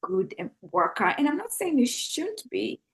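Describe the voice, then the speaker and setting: A woman's voice: high voice, big pitch swings, normal speed. A middle-aged woman with a Kenyan accent, speaking as an interview guest in a reflective, earnest, conversational way.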